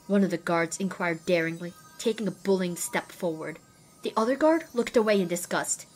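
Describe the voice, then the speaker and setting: A woman's voice: on the low side, normal speed, darkish tone, soft background music, close to an everyday voice. A woman voicing a young, determined and wry female pony who narrates her own adventure in an audio drama. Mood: wry, tense. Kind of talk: scripted first-person narration in audio drama.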